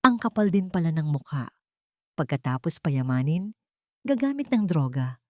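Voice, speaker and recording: A woman's voice: deep voice, big pitch swings, normal speed, mellow, no echo, clean studio sound. A woman voicing a judgmental man gossiping at an eatery in a drama story. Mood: mocking. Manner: judgmental, mocking. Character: gossiping judgmental male diner.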